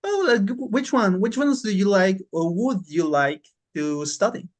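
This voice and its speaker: A man's voice: high-pitched, animated, measured pace. Male language teacher for an online class, encouraging, informal, repeats words to drive points home. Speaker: English teacher. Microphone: online class call.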